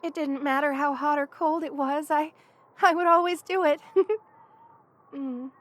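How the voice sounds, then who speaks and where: A woman voicing a young lamia best friend in an intimate ASMR roleplay, affectionate and hesitant, with a soft-hearted manner. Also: high voice, steady tone, a little slow. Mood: cosy, grateful.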